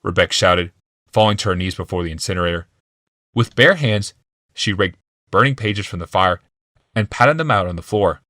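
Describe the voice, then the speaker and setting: Male narrator for fantasy audiobook: lowish, animated delivery, dry studio-close sound. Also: normal speed, no room sound. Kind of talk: dramatic scripted fiction narration.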